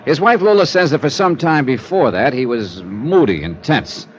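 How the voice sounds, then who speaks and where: An actor playing a confident insurance investigator, breezy, wry and matter-of-fact, in 1950s radio drama style. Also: male voice, mid-range voice, lively intonation, moderate pace, a little hiss or hum, natural voice. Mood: businesslike. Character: brisk, confident male freelance insurance investigator. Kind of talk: 1950s radio drama acting and first-person narration. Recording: muffled old radio recording with music.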